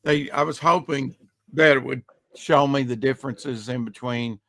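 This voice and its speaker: A male podcast host with a slightly raspy voice, chatting casually in a rambling way, full of fillers and trailing off. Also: mid-range voice, moderate pace, fairly bright tone, no room sound. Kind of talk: casual chat.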